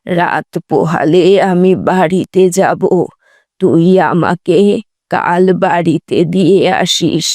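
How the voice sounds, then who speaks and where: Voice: mid-range voice, slow, darkish tone, no echo, studio quality, close to an everyday voice. A performer voicing a loving, pious elderly grandmother in a cartoon, affectionate and full of blessings. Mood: tender. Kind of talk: animated moral story dialogue.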